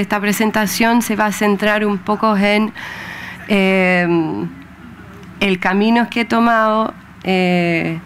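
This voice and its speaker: English-born woman, 30s, researcher lecturing; mid pitch, halting and filler-heavy, faint room hum behind. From England. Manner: hesitant, many fillers and tag questions. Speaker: marine researcher giving a public talk. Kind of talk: public lecture.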